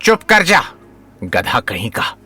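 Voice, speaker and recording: A man's voice: mid-range voice, huge pitch swings, slow pace, bright, forceful tone, slightly rough, exaggerated voice. A man playing a confident, stern coach who gives commanding instructions in an audio drama. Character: Rishiraj, confident, stern male coach of intimacy. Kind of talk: Hindi audio drama acting. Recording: music behind the voice.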